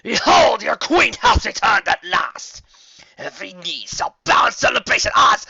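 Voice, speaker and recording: A man shouting as a booming fiery alicorn queen. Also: sing-song, unhurried, creaky, slightly rough, lo-fi sound, full character voice. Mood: grandiose. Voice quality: booming, archaic proclamations. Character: towering fiery alicorn queen, mad, imperious mare.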